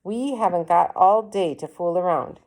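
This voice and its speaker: A woman's voice: on the low side, normal speed, darkish tone, close to an everyday voice. A woman voicing a firm, matter-of-fact businessman in a storybook, a little dismissive, in an everyday voice. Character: matter-of-fact adman refusing a small child.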